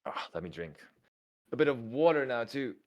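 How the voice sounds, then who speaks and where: Chatty German-accented man with a lively voice. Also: mid-range voice, normal speed, muffled recording. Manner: chatty, laughs often, thinks aloud. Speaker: tournament co-commentator. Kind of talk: casual livestream commentary, reading chat aloud.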